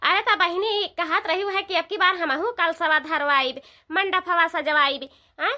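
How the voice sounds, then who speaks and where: A woman's voice: very high voice, measured pace, pushed and bright, exaggerated voice. A woman voicing a bossy, gossipy village sister-in-law in a comedy cartoon, emphatic and repetitive with an Awadhi accent. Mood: urging, eager.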